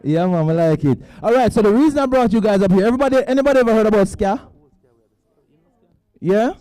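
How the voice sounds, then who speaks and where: Guy hyping a crowd onstage, jumpy lively voice, joking around in slang. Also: high voice, fast, no room sound, poor audio. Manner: playful, teasing, with local slang. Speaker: event host. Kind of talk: lively crowd hosting on stage. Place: stage at a student orientation event.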